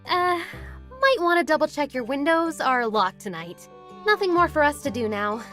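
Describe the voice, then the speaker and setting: A woman voicing a sensible, articulate young detective in a cartoon, speaking in an earnest, measured way. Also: high-pitched, expressive, fairly bright tone, faint music behind.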